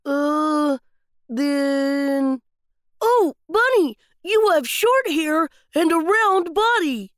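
A woman's voice: sits high, expressive, drawn-out words, no room sound, clean studio sound, heavily acted. A woman voicing a timid, silly little boy pig who speaks hesitantly and stammers, in a children's bedtime story.

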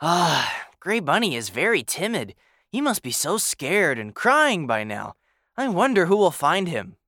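A woman voicing a motherly, excitable rabbit in a children's audio story. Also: on the low side, measured pace, no room sound, clean studio sound, exaggerated voice. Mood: worried.

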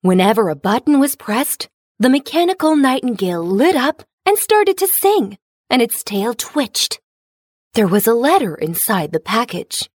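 A woman's voice: fairly high pitch, sing-song, unhurried, no echo. Woman narrating a fairy tale, close and dry. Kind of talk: scripted children's storybook narration.

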